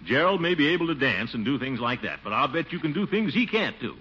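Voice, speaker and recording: An actor playing a fussy, fond, slightly pompous middle-aged uncle in a theatrical 1940s radio comedy style. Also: male voice, fairly high pitch, sing-song, fast, sharp tone, husky, exaggerated voice. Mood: concerned, encouraging. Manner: theatrical, with swooping exclamations and chuckles. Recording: muffled, noisy old radio recording.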